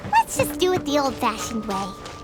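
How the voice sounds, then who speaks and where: A woman's voice: wildly swooping pitch, moderate pace. A woman voicing a tiny, chirpy female fairy companion who refers to herself in the third person, in a video game with music behind. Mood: playful. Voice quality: squeaky, talks about herself in third person.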